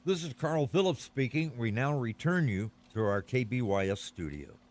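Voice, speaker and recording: An actor playing an eager, courteous radio commentator in a 1930s style radio drama, speaking in a formal announcer's manner. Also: male voice, mid-range voice, expressive, normal speed, darkish tone, lightly acted. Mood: urgent, formal. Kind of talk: 1930s radio drama, live news interview.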